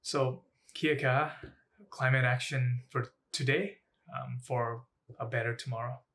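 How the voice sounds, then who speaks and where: A young man, a student, giving a personal advocacy talk in measured, halting phrases with pauses. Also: medium pitch, fairly even intonation, fairly bright tone, slight background noise. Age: young adult. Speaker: student speaker.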